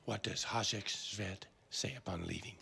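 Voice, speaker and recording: An actor playing a secretive wartime dealmaker in a dubbed film, speaking in a hushed, gravelly voice. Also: male voice, lowish voice, normal speed, slight background noise, heavily acted. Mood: guarded, secretive. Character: low, secretive man making covert wartime deals.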